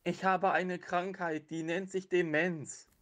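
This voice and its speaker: A high-voiced, slow boy acted by a man in a radio play. He sounds innocent, deadpan and forgetful. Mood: unbothered. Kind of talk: amateur audio drama acting.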